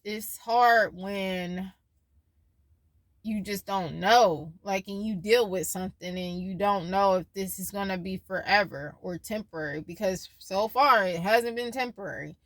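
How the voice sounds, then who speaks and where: A woman's voice: mid-range voice, fairly bright tone, dry recording. A woman in her twenties talking casually in a personal vlog, rambling and losing her train of thought as she shares her worries and her faith. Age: 20s. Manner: worried, faith-filled musing. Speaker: vlogger.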